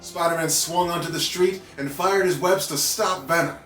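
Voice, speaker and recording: A man reading a children's story aloud in an animated narrating style with playful asides. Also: on the high side, moderate pace. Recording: roomy echo with background music.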